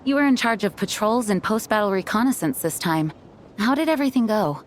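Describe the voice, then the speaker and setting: A woman's voice: medium pitch, lively intonation, a little fast, a little hiss or hum, lightly acted. A woman voicing a gracious, dutiful young knight commander in a video game, courteous and earnest. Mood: probing.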